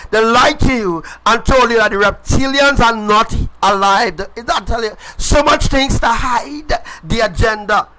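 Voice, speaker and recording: A man's voice: extremely high pitch, measured pace, few pauses, bright, forceful tone, soft background music, poor audio. A man preaching a live-streamed sermon straight to his viewers, emphatic and repetitive, calling them folks. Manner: emphatic and repetitive, hammering phrases again and again; addresses viewers directly as folks. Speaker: self-styled preacher.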